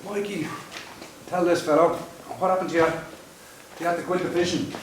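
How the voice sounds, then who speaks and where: A man's voice: high voice, moderate pace, slight background noise, lightly acted. An actor playing a blunt, plain-spoken middle-aged Irish fisherman with an Irish accent. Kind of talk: audio drama acting. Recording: very echoey, distant from mic.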